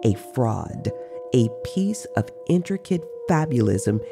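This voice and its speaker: A man's voice: medium pitch, sing-song, slow, creaky, music in the background. A man narrating in a dramatized, literary storytelling style.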